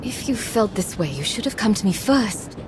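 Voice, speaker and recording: An actress playing a steely alliance commander and wounded lover in a dramatic video game, earnest and measured. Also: female voice, medium pitch, sing-song, background noise, lightly acted. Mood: hurt, pleading.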